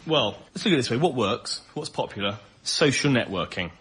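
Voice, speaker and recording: A man performing as a comedy panel guest, pitching comic ideas in a matter-of-fact, conversational way. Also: lively intonation, fairly quick, fairly bright tone. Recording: very noisy live show recording.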